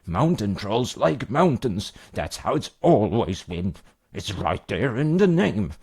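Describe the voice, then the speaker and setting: A man voicing a big, gruff, fatherly mountain troll in a bedtime story, blustery and booming yet reassuring as he soothes a child. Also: big pitch swings, takes it slowly, a little mellow, exaggerated voice. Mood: blustery, exasperated.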